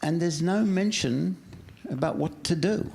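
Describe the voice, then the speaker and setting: A man's voice: a little high, measured pace, creaky, darkish tone, a little hiss or hum. A man in the audience asking a question in a wry, self-deprecating way. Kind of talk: question from the floor.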